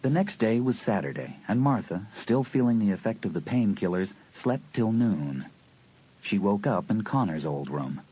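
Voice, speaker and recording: A man's voice: fairly low pitch, lively intonation, normal speed, warm tone, slightly rough. A man narrating a dramatised teen horror story in a scripted style. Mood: measured suspense. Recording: noisy dramatised audio tape.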